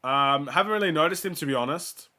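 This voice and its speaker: A young man hosts a fan watchalong, reading out player stats and calling the action. His voice is bright and sits a little high. He is sick and his voice is starting to give out, and he gets louder on the big plays. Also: quick pace. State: sick, voice going. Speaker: fan host of a watchalong stream. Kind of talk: casual play-by-play and stats commentary.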